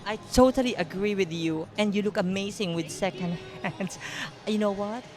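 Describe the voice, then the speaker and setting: A woman's voice: medium pitch, normal speed. A woman interviewer asking light, teasing questions with a giggly manner. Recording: music playing behind.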